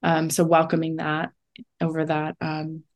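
Thoughtful woman with a lowish voice. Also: monotone, unhurried. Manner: reflective, often says "like". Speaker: astrologer guest. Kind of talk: casual conversational interview.

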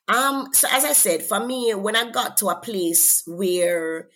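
Jamaican woman, interview guest, husky tone, earnest, preacherly, faith talk. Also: medium pitch, lively intonation, unhurried, fairly bright tone. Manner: earnest, preacherly, asks "right?" often. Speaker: guest, author and faith coach.

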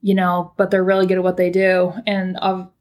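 A woman's voice: fairly low pitch, monotone, normal speed, a little mellow. A young woman from California, a PhD student, chatting casually as a podcast guest, rambling with many fillers and restarts. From California, United States. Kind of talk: casual interview chat.

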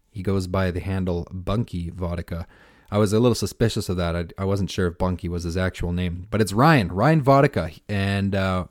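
A man from Canada hosting a podcast in casual, upbeat chat, his voice hoarse and scratchy from dust. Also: sits low, darkish tone, dry recording, clean studio sound. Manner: chatty, upbeat, rambling with restarts. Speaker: podcast host.

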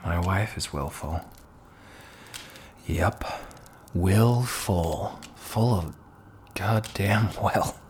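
An actor playing a frank, wry but shaken married man in a stage play, speaking in a halting, measured way over a noisy theater recording. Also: sits low, a little mellow. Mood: somber, wry.

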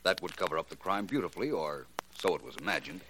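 A suave American gentleman sleuth from a 1940s radio drama. His low voice swings expressively as he speaks with polished, courteous assurance. The vintage recording is noisy. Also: expressive, fast. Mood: assured, deductive. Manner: polished, courteous, unruffled deduction. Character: shrewd, self-assured gentleman private detective.

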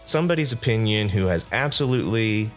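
A tired, stuffy-nosed man talks into the mic for his own podcast, rambling loosely with self-deprecating asides. His voice sits very low, and faint music plays underneath. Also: lively intonation, fairly quick. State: tired and nasally. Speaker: podcast host. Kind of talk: unscripted solo podcast rambling.

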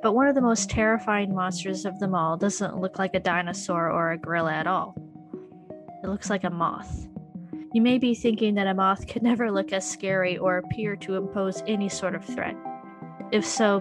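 A woman's voice: medium pitch, steady tone, moderate pace. A woman narrating a scripted audio documentary in a wry, conversational storytelling manner. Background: music underscore.

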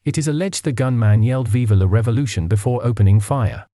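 A man playing a sober radio newsreader, delivering a scripted bulletin in a formal, measured way. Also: medium pitch, warm tone, dry recording, clean studio sound, natural voice. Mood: serious.

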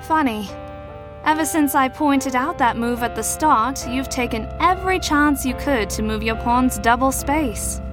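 A woman playing a shrewd, teasing adult mentor to troubled teens in an audio drama, with a measured, knowing, probing delivery. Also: high-pitched, over music. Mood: shrewd, needling.

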